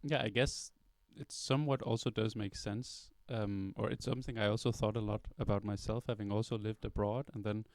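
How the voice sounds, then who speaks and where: A man speaks English in a smooth, clear voice that sits a little low. He asks long, reflective questions. The sound is dry and close to the mic. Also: steady tone, brisk, hardly any pauses, dry recording. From Denmark. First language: Danish. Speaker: interviewer, songwriter. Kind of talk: interview questions. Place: record store at a release party.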